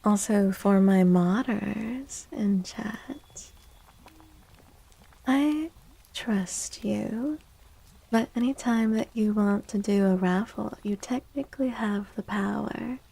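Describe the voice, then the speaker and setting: Soft spoken asmr streamer lady, mellow n slow, breathy, drifts into whispers, close mic. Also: medium pitch, poor audio. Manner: gentle, unhurried, often repeats phrases. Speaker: ASMR live streamer, host. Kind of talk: soft-spoken ASMR chat with live viewers. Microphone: close microphone.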